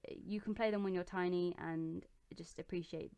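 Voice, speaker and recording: A young woman in her bedroom, answering viewer questions in a relaxed, chatty way, with plenty of ums and restarts. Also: on the low side, moderate pace, clear, resonant tone. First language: English. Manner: chatty and relaxed, with many ums and self-corrections. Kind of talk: casual chat.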